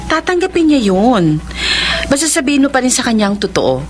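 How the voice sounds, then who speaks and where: Encouraging, animated Filipina confidante. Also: female voice, sits high, fairly quick. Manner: encouraging, persuasive. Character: supportive, level-headed woman advising a lovesick friend. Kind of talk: Filipino radio drama acting.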